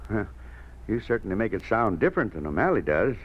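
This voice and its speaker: A timid union leader, deferential and easily swayed, stammering through his sentences. The voice is deep with lively swings, in 1950s radio acting on a muffled old recording. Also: fast talker, breathy edge. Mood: uneasy, hesitant.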